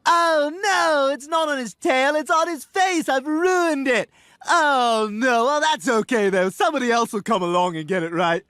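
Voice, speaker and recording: A man voicing a sweet, simple-minded, eager-to-please baby boy in an animated comedy, slow, naive and folksy. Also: extremely high pitch, no echo, clean studio sound.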